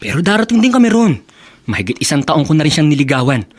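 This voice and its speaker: Cocky, girl-chasing college guy for radio drama, brash and cajoling, very animated pitch. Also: fast talker. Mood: cocky, boastful. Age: young adult. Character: cocky, lustful male student, pleading boyfriend. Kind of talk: Tagalog radio drama acting.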